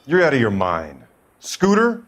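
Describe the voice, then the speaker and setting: A man's voice: mid-range voice, wildly swooping pitch, unhurried, a bit bright, a little hiss or hum. Surly, swooping hot-headed tough guy. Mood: incredulous, angry. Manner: surly, confrontational. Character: defiant, hot-headed male gun-owning suspect, tough and surly. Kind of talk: video game cutscene acting. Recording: music behind the voice.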